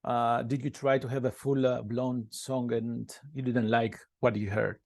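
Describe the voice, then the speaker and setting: A man's voice: medium pitch, level tone, measured pace, vocal fry, crowd noise. A man interviewing a band, hesitant, often repeating words and laughing. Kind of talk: casual interview questions.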